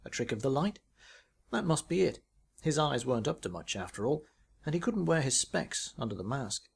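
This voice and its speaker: A British man reads a short story as narration, in an expressive, wry storytelling manner. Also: mid-range voice, moderate pace, gravelly, breathy edge. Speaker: author reading own short story.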